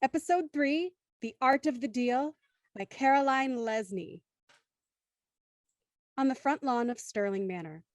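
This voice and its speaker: A woman's voice: high voice, measured pace, pauses often, no echo, studio quality. A woman hosting a live audio show and reading scripted narration, addressing her listeners directly and in an organised way. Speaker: host and narrator.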